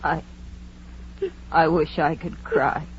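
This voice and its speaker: A woman's voice: steady tone, slow. A woman acting a brash but frightened young working woman in an old radio horror play, emphatic and melodramatic. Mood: drained, remorseful. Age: young adult. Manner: emphatic, highly strung, melodramatic. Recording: dull, noisy old radio recording.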